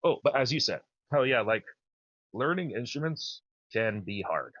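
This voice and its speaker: A man in his thirties with a naturally low voice, chatting casually and enthusiastically as a podcast host. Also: animated, normal speed, fairly bright tone, no echo, highs cut off. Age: 30s. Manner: rambling, enthusiastic. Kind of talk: casual chat.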